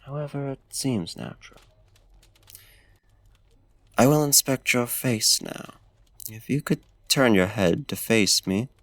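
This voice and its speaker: A man voicing a courtly, smitten vampire in a soft-spoken ASMR roleplay, with formal, old-fashioned, genteel diction and a hushed, lingering delivery. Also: medium pitch, lively intonation, slow pace, long pauses, dry recording. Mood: tender, admiring. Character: courtly, smitten male vampire tending a frostbitten guest. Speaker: ASMR voice actor.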